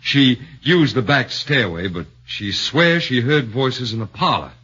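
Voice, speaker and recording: A man voicing a shrewd middle-aged defense lawyer who questions in a probing, measured way, in a 1940s radio drama on a muffled old broadcast. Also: medium pitch, lively intonation, sharp tone, breathy edge, full character voice.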